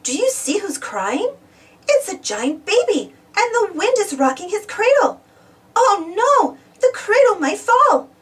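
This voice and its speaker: A woman reads a storybook aloud for small children in a high voice. Her intonation swings widely, with exclamations and questions aimed at the young listener. She sounds somewhat distant from the microphone. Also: very animated, a little slow. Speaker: storybook reader. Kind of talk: animated children's read-aloud narration.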